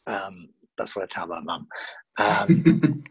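Middle-aged actor, husky and gravelly, dry booth, reflective interviewee. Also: male voice, low-pitched, lively intonation, dry recording, dull audio. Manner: self-deprecating, halting with restarts. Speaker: film actor.

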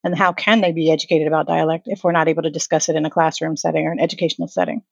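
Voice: mid-range voice, flat delivery, racing, vocal fry, no echo, dull audio. A panelist on a podcast, reasoning aloud in a thoughtful way. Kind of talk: podcast discussion.

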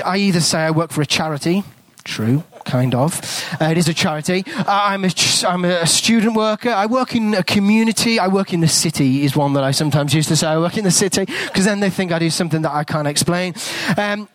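Higher-voiced English preacher, dry close sound. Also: male voice, lively intonation, a little fast, no room sound. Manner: conversational storytelling, drops to a hushed whisper in prayer. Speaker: guest preacher. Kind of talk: sermon with a told story.

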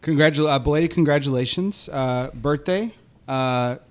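A man in his thirties co-hosting a podcast, chatting casually with lots of restarts and fillers. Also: a little high. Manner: halting, many fillers and restarts, friendly. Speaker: podcast co-host.

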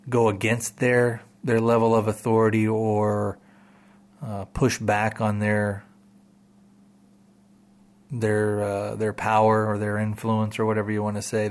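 A man hosting a podcast, talking casually to listeners in a rambling way, full of repeats and "you know"s. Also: fairly low pitch. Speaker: podcast host.

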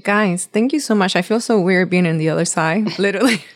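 A middle-aged woman from Puerto Rico whose first language is Spanish, speaking English with a Puerto Rican accent as a guest telling her story, chatty and quick to laugh. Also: lowish voice. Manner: laughs often, chatty and bubbly. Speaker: guest author. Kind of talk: casual podcast interview.

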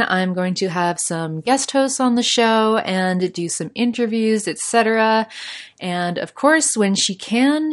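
A young adult woman with a Canadian accent hosting a solo podcast, chatty and prone to laughing, with lots of fillers. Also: medium pitch. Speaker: podcast host.

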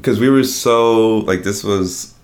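Brief: deep, low-pitched man, easygoing guest, loose rambling delivery, lots of fillers. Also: slow pace. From New Jersey. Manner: relaxed, rambling, many "like" fillers. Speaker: rap performer, interview guest. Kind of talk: casual interview talk. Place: home dining room.

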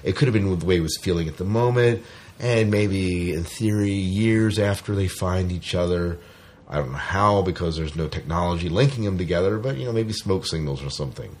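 A man's voice: deep voice, brisk, darkish tone. A man co-hosting a podcast, talking casually about a TV series. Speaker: podcast co-host. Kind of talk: casual chat reviewing a TV series.

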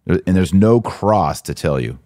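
Low-pitched man hosting a podcast. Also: animated, a little slow, dark tone, dry recording, studio quality. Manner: opinionated, emphatic, colloquial. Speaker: podcast host. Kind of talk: live commentary and casual discussion.

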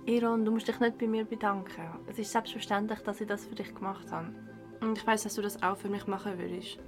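A woman's voice: medium pitch, little pitch movement, brisk, music in the background, lightly acted. An actress with a Swiss German accent playing a caring young woman in a radio drama. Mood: reassuring. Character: caring young woman looking after her drunk best friend.

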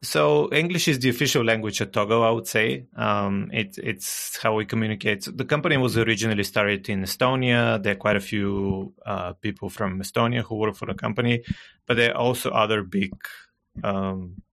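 Podcast guest, Bulgarian-accented man, gravelly low register, careful and hesitant delivery. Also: a bit bright. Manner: measured, hesitant with frequent uh fillers. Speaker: guest, marketing director. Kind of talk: casual podcast conversation.

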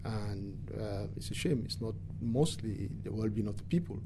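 A man from Cameroon with a Cameroonian accent gives earnest, halting interview answers, often repeating words for emphasis. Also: fairly low pitch, noisy background. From English-speaking Cameroon. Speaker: environmental activist and interviewee.

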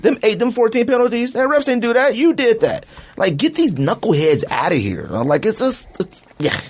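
A man's voice: very high-pitched, normal speed, slightly rough. A man co-hosting a podcast, ranting in a loose, slangy, rambling way about a football team's season. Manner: animated, rambling, slangy rant. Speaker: podcast co-host. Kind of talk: casual sports chat.

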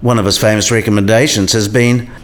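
An actor playing an eccentric, kindly old philosophy professor in a radio play, musing and didactic. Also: male voice, fairly low pitch, steady tone, fairly quick, close to an everyday voice. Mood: explanatory. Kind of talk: scripted radio play dialogue.

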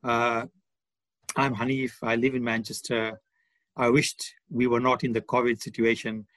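A man's voice: medium pitch, little pitch movement, unhurried, fairly bright tone, no room sound, low-quality recording. A man in his sixties from India and Malawi, talking discursively as a guest in a conversational interview. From born in India, grew up in Malawi. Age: 60s. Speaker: podcast guest, charity development manager. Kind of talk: conversational interview, reflective account. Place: remote online call.

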